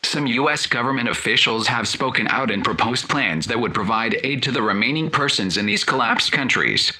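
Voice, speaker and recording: A man's voice: medium pitch, lively intonation, a little fast, pushed and bright. A man playing a television news anchor, reading a scripted special report in a formal, matter-of-fact way. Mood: serious, matter-of-fact.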